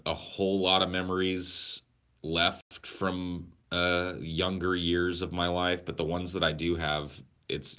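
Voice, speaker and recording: Low-voiced man rambling to his stream. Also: pushed and bright. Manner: rambling, crude, answers chat questions aloud. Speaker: livestream host. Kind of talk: casual livestream chat with viewers. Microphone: portable camera or phone carried around.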